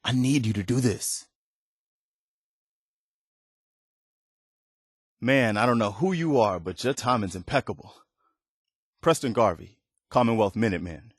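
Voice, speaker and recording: A man's voice: fairly low pitch, animated, moderate pace, slightly rough, no room sound, clean studio sound. A male actor voicing an earnest, weary, loyal militia soldier in a video game, speaking in a plain-spoken, folksy way. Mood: businesslike, urgent. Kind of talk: dramatic video game dialogue.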